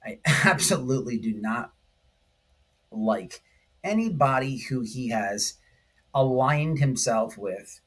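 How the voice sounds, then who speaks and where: A man's voice: medium pitch, expressive, slow pace, long pauses, fairly bright tone, breathy edge. A man hosting informal true crime commentary, speaking emphatically in slangy language and often checking in with the listener. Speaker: YouTube commentary host.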